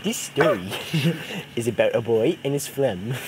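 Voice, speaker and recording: Giggly young man telling a halting comic story. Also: medium pitch, sing-song. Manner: giggly, halting, often breaking into laughter; put-on parody voice of a cartoon character. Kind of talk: improvised comic storytelling among friends. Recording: noisy amateur home recording.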